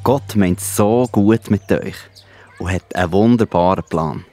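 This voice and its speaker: A man with a Swiss accent playing a gentle, reassuring Jesus who explains things patiently in a children's Bible audio play. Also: deep voice, a little slow, no room sound, soft background music. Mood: reassuring, encouraging. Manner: explains patiently in simple words.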